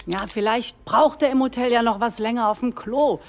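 A self-assured party leader in a satirical radio play. Her voice is on the high side. She is eager and persuasive, smoothing things over while scheming. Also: normal speed. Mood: scheming, reassuring. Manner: persuasive, placating.

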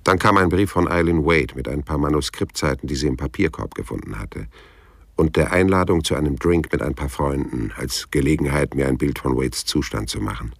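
Very deep, laconic hard-boiled detective. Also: male voice, fairly quick, vocal fry, dry recording, exaggerated voice. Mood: melancholy, reflective. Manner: dry, laconic. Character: laconic, hard-boiled male private detective, world-weary narrator. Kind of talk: radio crime drama narration and dialogue. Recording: music behind the voice.

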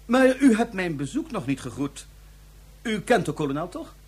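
Pompous high-pitched megalomaniac dictator. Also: male voice, very animated, moderate pace, a little mellow, breathy edge, exaggerated voice. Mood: mockingly polite. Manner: pompous, mockingly polite. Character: smug megalomaniac dictator plotting to seize the solar system. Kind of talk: radio drama acting.

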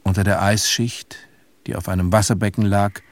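An actor playing a somber, reflective grown son who narrates a literary radio play in a measured, pensive manner. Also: male voice, fairly low pitch, steady tone, no room sound, close to an everyday voice. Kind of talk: literary first-person radio drama narration.

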